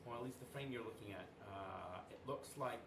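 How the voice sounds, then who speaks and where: A man's voice: medium pitch, a little fast, roomy sound, far from the mic, noisy background. A man narrating as game master of a tabletop horror game, rambling with many fillers and restarts.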